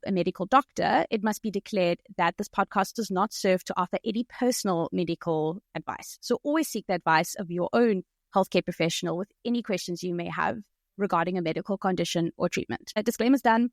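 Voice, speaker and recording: A woman's voice: mid-range voice, fairly quick, dry recording. A South African woman in her early thirties speaks as a podcast co-host. She is chatty and articulate, with an everyday mid-range voice. The sound is dry and close, with very little room to it. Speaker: podcast co-host, medical doctor. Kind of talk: conversational podcast introduction.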